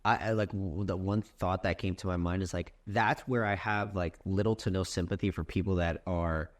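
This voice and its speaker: A man's voice: low voice, steady tone, moderate pace. A man in his twenties hosting a podcast, asking thoughtful, probing questions in a casual chat. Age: 20s. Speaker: podcast host.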